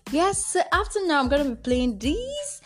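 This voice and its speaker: High, animated Nigerian woman podcaster. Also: normal speed. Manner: bubbly, playful, expressive. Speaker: podcast host and drama producer. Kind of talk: casual chatty podcast talk.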